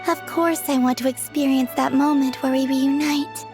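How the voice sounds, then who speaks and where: Soft-natured game heroine: high voice, earnest and dreamy delivery, scored with music. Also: female voice, level tone, moderate pace. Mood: wistful. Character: gentle, earnest, dreamy young woman, softly sincere. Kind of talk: English anime game voice acting. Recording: music playing behind the voice.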